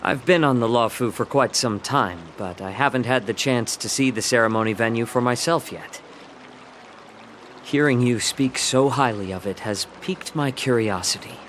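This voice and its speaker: An actor playing a polite, shrewd man with veiled cynicism, speaking in a measured, understated way in a video game. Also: mid-range voice, a bit bright, a little hiss or hum, natural voice. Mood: polite, probing. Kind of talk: English video game voice acting.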